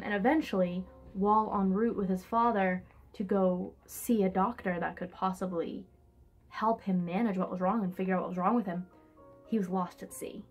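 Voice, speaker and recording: A young woman giving a casual, sarcastic book recap with theatrical read-aloud bits, swearing freely. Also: mid-range voice. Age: young adult. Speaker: book reviewer, host. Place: indoors, small room in front of a hung sheet. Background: classical music.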